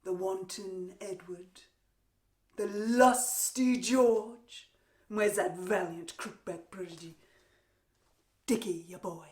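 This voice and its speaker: A woman performing a Shakespearean stage monologue as a vengeful warrior queen, theatrical and taunting, with drawn-out pauses, gloating over a captive. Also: medium pitch, fairly even intonation, darkish tone, lightly acted. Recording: roomy, echoing untreated room.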